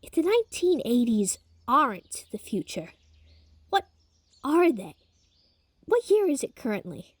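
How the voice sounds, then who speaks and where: A woman acts out an old-fashioned man of science in an audio drama. He speaks formally and wordily, a little guarded, in a high, expressive voice recorded dry. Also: unhurried, long pauses, husky, no echo, exaggerated voice. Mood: incredulous. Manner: formal, wordy, hesitant.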